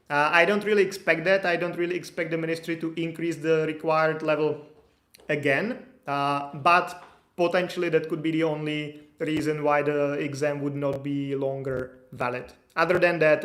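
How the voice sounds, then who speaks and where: Slightly high-voiced man, echoey room. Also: flat delivery, normal speed, fairly bright tone. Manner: reads out viewer questions, then answers with frequent fillers and restarts. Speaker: immigration consultant answering live chat questions. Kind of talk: casual live stream Q&A.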